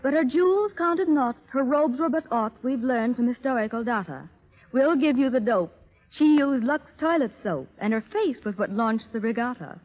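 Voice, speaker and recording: A woman's voice: on the high side, expressive, normal speed. A woman reciting rhymed comic advertising verse rhythmically in 1930s radio style. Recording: tinny narrow-band vintage radio recording.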